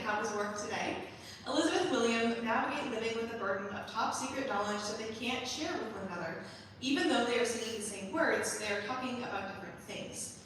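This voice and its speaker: Echoey, high-voiced woman presenting on stage. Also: fairly bright tone, a little hiss or hum. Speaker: composer and performer presenting their capstone musical. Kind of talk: spoken introduction to a staged reading. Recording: echoey hall from far off, music.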